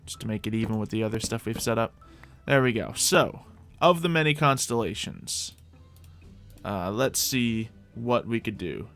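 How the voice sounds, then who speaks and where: A man's voice: on the low side, lively intonation, moderate pace, a bit bright. Man musing through game commentary over music. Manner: mock-archaic, formal fantasy phrasing. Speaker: host of a gaming series. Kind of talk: gaming commentary, thinking aloud in light roleplay.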